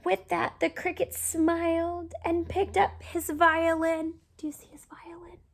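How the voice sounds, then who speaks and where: A woman with a high, creaky voice reads a picture book to children. She goes slowly and expressively, with hushed asides to her young listeners. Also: flat delivery. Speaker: children's storyteller.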